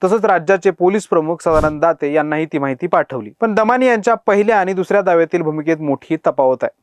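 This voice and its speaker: A man's voice: on the high side, fast, slight background noise. A man presenting a scripted news explainer in an emphatic manner, posing rhetorical questions.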